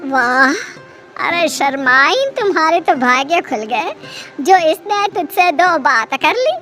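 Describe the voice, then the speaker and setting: A woman's voice: high-pitched, expressive, slow pace, pushed and bright, faint music behind, full character voice. A woman voicing a sarcastic, long-suffering middle-aged mother-in-law with a dramatic, complaining manner.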